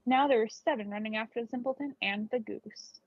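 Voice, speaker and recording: A woman's voice: fairly high pitch, fairly quick, dry recording. A woman reading a children's fairy tale aloud as scripted narration, in a dull, lo-fi recording. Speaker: storybook reader.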